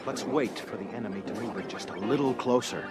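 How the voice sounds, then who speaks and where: A man's voice: mid-range voice, fairly quick, lightly acted. An actor playing a bold, cocky male missile base captain with a swaggering, commanding manner in an audio adventure. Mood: cocky, taunting. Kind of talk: children's audio adventure acting. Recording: music bed under voice. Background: music.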